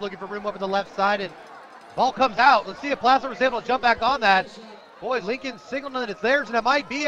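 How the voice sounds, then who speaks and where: A man calling live football play-by-play on the radio in an energetic, excitable way. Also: very high-pitched. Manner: energetic, rises to shouts on big plays. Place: outdoor high school football stadium broadcast position. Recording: loud crowd and noisy outdoor stadium. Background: crowd noise.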